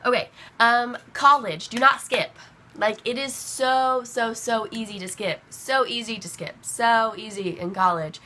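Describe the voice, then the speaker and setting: A young woman vlogger chatting casually to camera while sick with a bad cold, her voice rough, rambling with lots of fillers. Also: a little high, measured pace, sharp tone, a little hiss or hum. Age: young adult.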